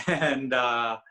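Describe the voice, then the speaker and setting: Mexican guy, spanish is his first language, bright clear voice, earnest and laughs a lot on a video call. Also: medium pitch. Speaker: panel guest, singer. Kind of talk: video call panel discussion.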